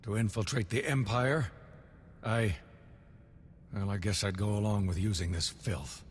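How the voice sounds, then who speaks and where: An actor playing a shaken rescued military captain in a video game, earnest and plain-spoken. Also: male voice, lowish voice, steady tone, unhurried, in a large hall, a little hiss or hum, close to an everyday voice. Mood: bitter. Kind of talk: scripted video game cutscene acting.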